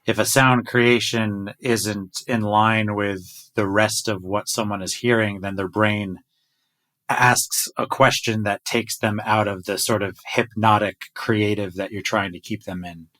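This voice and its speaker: A man's voice: fairly low pitch, fairly even intonation, measured pace, fairly bright tone. A man hosting a casual podcast conversation, playful and upbeat with a joking manner. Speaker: podcast host.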